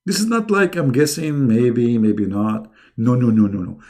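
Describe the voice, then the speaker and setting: A man's voice: medium pitch, moderate pace, darkish tone. A middle-aged man who speaks English as a second language hosts a live online debate in a mocking, emphatic, sarcastic way. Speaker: debate host.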